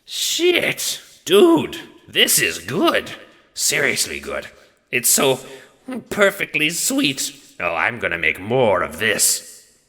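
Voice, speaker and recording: Audio drama role: big dim lug of a death god played by a man, pitched up, swooping, bitter and crude, heavy reverb. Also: huge pitch swings, slow, sharp tone, heavily acted. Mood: amazed, delighted. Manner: blunt, slangy, casually profane.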